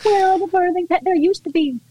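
A woman's voice: very high voice, a little fast, warm tone, slight background noise. A woman actress chatting in an interview, effusive and full of fillers and restarts. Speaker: actress guest. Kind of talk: casual interview chat.

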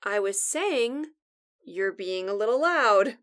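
A woman's voice: high-pitched, expressive, measured pace, sharp tone, heavily acted. A woman playing a bossy, sarcastic apocalypse survivor who co-hosts a radio show with dry exasperation. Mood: irritated. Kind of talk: scripted comedic audio drama, bantering radio show.

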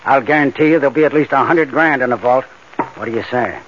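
A man's voice: mid-range voice, fast, natural voice. A man acting a confident, scheming tough crook who gives instructions. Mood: confident, persuading. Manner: assured, instructing, tough-guy slangy. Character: Marty, confident scheming bank-job mastermind, tough crook. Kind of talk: 1940s radio crime drama acting. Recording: muffled old-time radio recording, background hiss.

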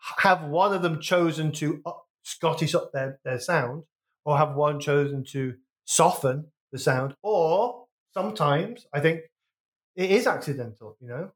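A man's voice: a little high, measured pace. A man from Wolverhampton with a West Midlands accent, co-hosting a casual music podcast in a chatty, rambling way full of restarts. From Wolverhampton, England. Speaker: podcast co-host.

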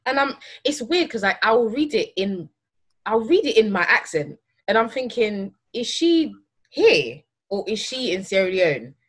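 A woman's voice: mid-range voice, big pitch swings, a little slow, slightly rough. A woman co-hosting a casual podcast, joking around and using British slang.

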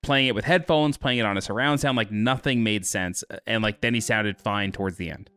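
Male co-host, deep bassy voice, blunt and opinionated in casual chat. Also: fairly quick, bright, forceful tone, smooth, no echo. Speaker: podcast co-host.

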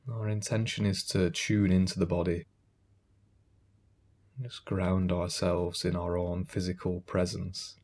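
A man speaks as a meditation guide in a low, husky voice. His delivery is calm and gentle, with unhurried instructions and space left between phrases.

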